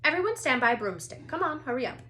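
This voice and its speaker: A brisk older schoolmistress from a children's storybook, acted out by a woman reader. She barks her orders in a raised, forceful pitch and sounds bossy and commanding. There is some background noise on the recording. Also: sing-song, exaggerated voice. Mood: barking, commanding. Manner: barking, commanding. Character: brisk, bossy older female flying teacher, barking orders.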